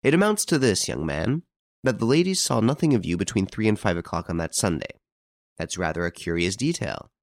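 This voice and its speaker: A man voicing a confident, sly gentleman detective in an audiobook, good-humoured but emphatic. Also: on the low side, sing-song, normal speed, creaky, no room sound, clean studio sound. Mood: pressing, accusing.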